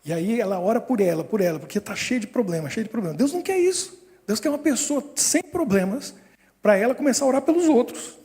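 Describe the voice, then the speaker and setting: High-voiced man preaching in a hall. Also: fast talker. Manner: lively storytelling with frequent chuckles. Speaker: pastor. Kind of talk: sermon. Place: church hall.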